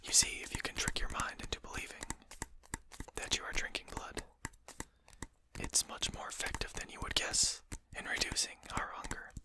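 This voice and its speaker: Casting: performer playing a genteel vampire host for ASMR roleplay, crisp bright whisper, formal, polite, deliberate. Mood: polite, hospitable. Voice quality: breathy unvoiced whisper. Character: courteous, genteel vampire host lecturing a captured hunter.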